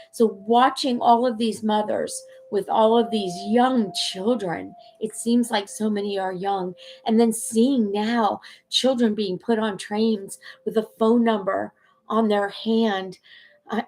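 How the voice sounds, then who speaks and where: A woman's voice: mid-range voice, slight background noise. A middle-aged American woman hosting her own channel, talking earnestly and emotionally to camera with fillers and restarts. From United States. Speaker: channel host. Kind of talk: personal talk to camera.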